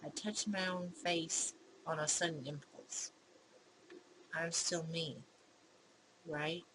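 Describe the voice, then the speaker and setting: A woman's voice: low-pitched, steady tone, takes it slowly. A woman gaming YouTuber reading a visual novel aloud, with bemused, giggly reactions in between. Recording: clear background noise.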